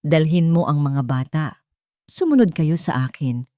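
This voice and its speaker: A woman narrator playing an urgent, commanding Filipino male CEO from a spoken love story. He is protective and decisive, in short firm phrases. The delivery is animated and recorded dry. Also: sits low, unhurried, dry recording.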